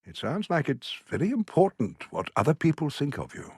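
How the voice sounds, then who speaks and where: An actor voicing an older British therapist who speaks in a measured, polite and reserved way. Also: male voice, a little high, wildly swooping pitch, darkish tone, slightly rough, no room sound, studio quality, full character voice. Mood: calm. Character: calm older male therapist. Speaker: child psychologist. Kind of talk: animated sitcom voice acting.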